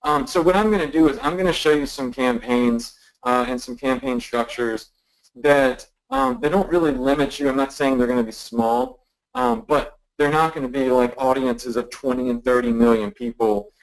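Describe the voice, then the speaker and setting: A man's voice: medium pitch, animated, normal speed, creaky, rough recording. A man in his thirties hosting a live online marketing webinar from his office, chatty and upbeat, with plenty of ums and restarts. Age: 30s. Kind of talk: casual live webinar teaching. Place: office at a stand-up desk. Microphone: desk mic on a live webinar.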